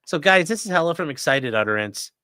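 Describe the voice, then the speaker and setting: A man's voice: fairly high pitch, lively intonation, fast, sharp tone, clean tone. A man hosting a livestream, joking through sponsor reads and giving blunt, sarcastic opinions. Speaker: livestream host, defense attorney. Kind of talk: casual livestream commentary.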